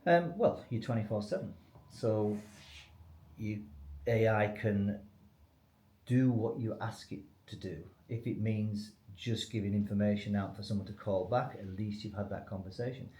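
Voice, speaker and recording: A man with a fairly low, slightly soft-toned voice answers as the expert in a business interview. He explains things conversationally, in a clearly echoey room. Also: normal speed. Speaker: company expert being interviewed. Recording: echoey room, faint background noise.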